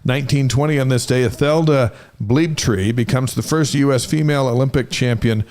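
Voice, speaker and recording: A man's voice: medium pitch, a little fast, a little mellow. Middle-aged man, chatty sports show co-host. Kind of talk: casual banter reading on-this-day history items.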